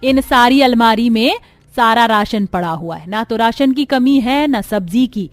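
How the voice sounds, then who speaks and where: A bossy older mother-in-law from a cartoon family story, acted by a woman. Her high voice scolds and commands, laying down the rules of the house. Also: measured pace, no echo, lightly acted. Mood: commanding. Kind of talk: Hindi cartoon family drama voice acting.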